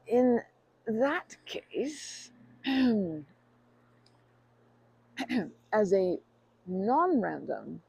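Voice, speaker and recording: A performer plays a nervous stranger shyly flirting in an ASMR roleplay. The voice is mid-pitched, soft-edged and mellow with a creaky grain, recorded close and dry. The speech is polite, ornate and full of hesitant, apologetic detours. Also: no echo, heavily acted, rough recording. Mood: charmed, earnest.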